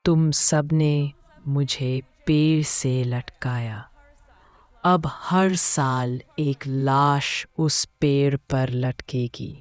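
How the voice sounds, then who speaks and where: A woman voicing a vengeful female ghost in a horror cartoon, speaking with slow menace. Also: a bass voice, steady tone, heavily acted. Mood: vengeful, menacing.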